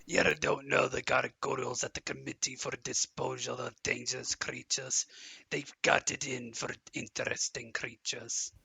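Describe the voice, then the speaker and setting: A man playing a distraught, soft-hearted half-giant gamekeeper in an audio drama, with rustic speech and a gravelly, husky voice. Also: medium pitch, unhurried, no echo, exaggerated voice. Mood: upset, gloomy.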